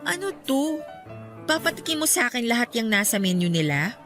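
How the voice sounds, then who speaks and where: Actress voicing a bashful young girlfriend, polite, high voice, soft music bed. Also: sing-song, brisk. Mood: puzzled. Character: shy, good-natured young girlfriend. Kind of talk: radio drama acting.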